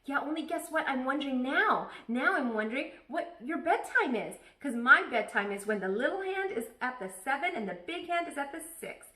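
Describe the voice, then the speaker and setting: A woman voicing an excitable, nosy, stubborn kindergarten girl from a children's storybook, blurting things out emphatically. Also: high voice, normal speed, hardly any pauses, pitched above the performer's own voice. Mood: eager, nosy. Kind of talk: children's storybook read-aloud. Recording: echoey room.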